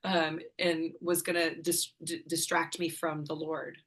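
A woman's voice: low-pitched, little pitch movement, unhurried. A middle-aged woman from northern Illinois, talking candidly and casually as a podcast guest, laughing often and swearing freely. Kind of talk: casual conversation.

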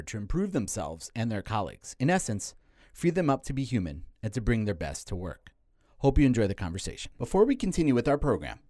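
A man hosting a podcast, reading a clear, steady introduction and asking guests questions. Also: medium pitch, no room sound, clean studio sound. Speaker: podcast host. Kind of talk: scripted podcast intro and interviewing.

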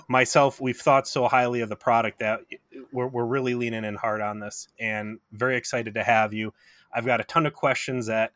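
A man's voice: mid-range voice, pushed and bright, no echo. A man from Cleveland co-hosting a podcast and interviewing a guest, eager and chatty, with frequent restarts. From Cleveland, USA. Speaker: podcast co-host.

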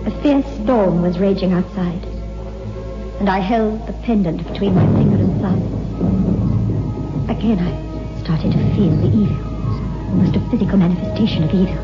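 An actress playing a grieving, lonely widow in a 1960s radio horror drama, speaking in an earnest, anguished, dramatic way. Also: female voice, mid-range voice, moderate pace, pauses often, close to an everyday voice. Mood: haunted, sorrowful. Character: grieving, lonely widow haunted by a cursed wishing stone. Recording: dull old radio recording with loud music.

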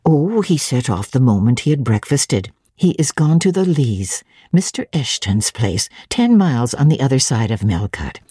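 Woman as a low-voiced, kindly elderly housekeeper. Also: big pitch swings, moderate pace, hardly any pauses, warm tone, husky, no echo, clean studio sound, full character voice. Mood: chatty, admiring. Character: kindly, chatty elderly English housekeeper, genteel and motherly. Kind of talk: dramatic audiobook character reading.

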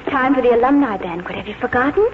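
A woman's voice: on the high side, fast talker, natural voice. An actress playing an affectionate, fussing young woman in 1940s radio drama style. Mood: affectionate, brisk. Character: bright, affectionate young grown woman, fussing over aunt. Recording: muffled, very noisy old radio broadcast.

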